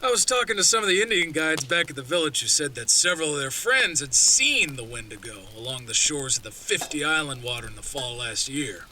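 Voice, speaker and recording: A man playing a hearty backwoods man in a horror audio drama, with folksy rural phrasing and a storyteller's pauses. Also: a little high, animated, bright, forceful tone, a little hiss or hum, rough recording. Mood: ominous storytelling. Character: gruff, hearty backwoods man retelling wilderness legends.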